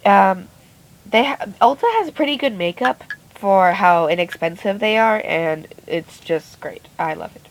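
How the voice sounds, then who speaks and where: A woman with a raspy voice giving a chatty, rambling makeup tutorial, often stopping to correct herself, recorded on a phone. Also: medium pitch, poor audio. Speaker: beauty vlogger. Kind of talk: casual makeup tutorial narration. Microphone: phone camera.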